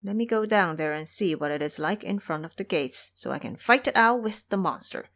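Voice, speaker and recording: A woman's voice: on the low side, expressive, a little fast, a bit bright. A woman voicing a proud, hot-tempered male monkey warrior in an audio drama, with a boastful, declamatory delivery. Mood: earnest. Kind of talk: audio drama character acting.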